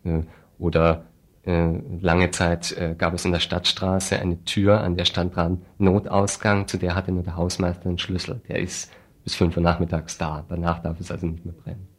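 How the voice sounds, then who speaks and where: A man with a deep voice and very flat intonation speaks as an activist interview guest. He explains his points spontaneously and deliberately, with a dry, sardonic, critical edge.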